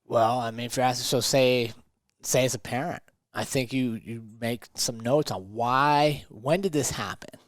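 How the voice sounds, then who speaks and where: Man, mid-pitched voice, motivational podcast coach, conversational, emphatic, rhetorical questions, dry close sound. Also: normal speed, no echo. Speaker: podcast host and self-improvement coach.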